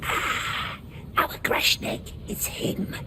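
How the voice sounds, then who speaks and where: A man playing a wretched, sly cave creature in a video game, with a raspy, hissing whine, muttering about himself as "we". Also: drawn-out words. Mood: hushed muttering. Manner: refers to himself as we, wheedling, muttering. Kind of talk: video game cutscene acting.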